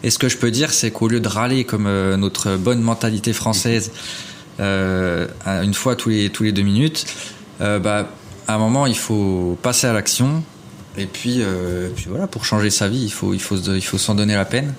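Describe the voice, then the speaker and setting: A man speaking as a podcast guest in an apartment, earnestly handing out advice. His voice is low-pitched and broken up by frequent fillers. A faint hiss sits behind him. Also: moderate pace. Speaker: guest, property investor. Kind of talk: casual interview chat. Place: apartment rental abroad.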